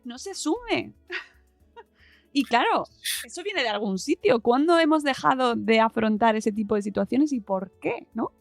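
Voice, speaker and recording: A woman's voice: fairly high pitch, animated, normal speed, creaky, no room sound, soft background music. A woman with an accent from Spain hosting a casual podcast interview, speaking informally and expressively and appealing to her listeners.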